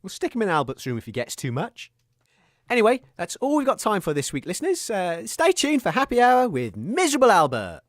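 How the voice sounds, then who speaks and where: Man doing a swooping, high-voiced radio presenter. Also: wildly swooping pitch, fairly quick, no echo, clean studio sound. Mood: placating. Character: flustered, conciliatory male radio presenter. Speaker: radio show host. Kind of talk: absurd radio comedy presenting.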